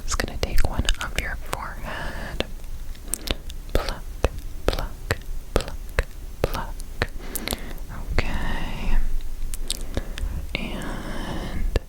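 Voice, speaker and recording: Asmr lady whispering super slow, soft soothing examiner roleplay. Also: low-quality recording. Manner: soothing, repeats trigger words slowly.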